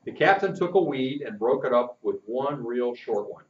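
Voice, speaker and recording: An older man reading a chapter book aloud in a lively, animated way, stopping now and then to chat with his listeners. He is recorded by a distant camera in a roomy classroom, so the sound is echoey and a little dull. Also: medium pitch, moderate pace, slight background noise. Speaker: storyteller. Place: indoor classroom-like room with posters. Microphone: far camera.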